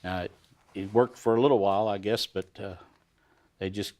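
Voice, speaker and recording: A man in his sixties hosting a TV studio interview, asking leading questions in a halting way with many pauses. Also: on the low side, fairly even intonation, a little mellow. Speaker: host.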